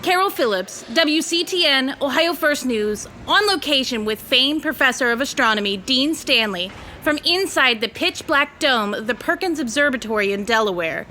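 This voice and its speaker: A woman plays an inquisitive field reporter in a radio drama. Her high, bright voice is very lively in pitch, with a polished, perky, brisk broadcast delivery. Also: a little hiss or hum. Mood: brisk, curious. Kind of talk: radio drama newscast acting.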